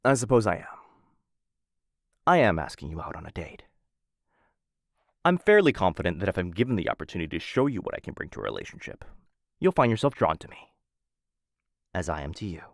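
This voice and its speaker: A low-voiced, smitten assassin played by a man in a boyfriend ASMR roleplay. His delivery swings widely in pitch, with a gravelly fry. He speaks in polished, courtly phrases and drifts into hesitant, softer asides. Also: huge pitch swings, fairly quick, long pauses, no echo, studio quality. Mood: suave, courting. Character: smitten male assassin of noble house, suave, courtly, playful. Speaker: voice actor in a boyfriend roleplay audio. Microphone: close studio-style mic.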